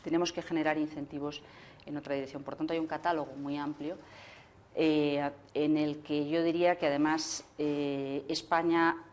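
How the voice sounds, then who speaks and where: Low-voiced Spanish woman on a panel. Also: flat delivery, darkish tone, slight background noise. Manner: measured, discursive, long sentences with hesitant restarts. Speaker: government minister on a panel. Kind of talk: panel discussion answer. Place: stage in an indoor conference hall.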